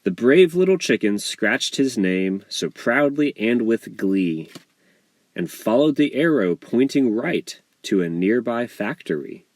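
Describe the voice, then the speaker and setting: A man reading a rhyming children's story aloud as its author, in an expressive, measured storytelling delivery. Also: medium pitch, no room sound. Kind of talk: scripted storybook narration in rhyme.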